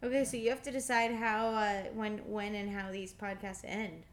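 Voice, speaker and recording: A young woman co-hosting a casual podcast, relaxed and teasing, mostly giving short replies. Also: medium pitch, normal speed. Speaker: podcast co-host. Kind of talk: casual chat.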